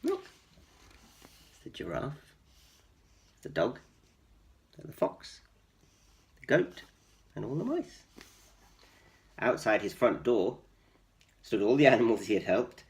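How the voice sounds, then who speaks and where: A man's voice: lowish voice, wildly swooping pitch, slow, pauses often, darkish tone. A man hosting storytime, reading aloud expressively for young children and explaining with questions. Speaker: storytime host and reader.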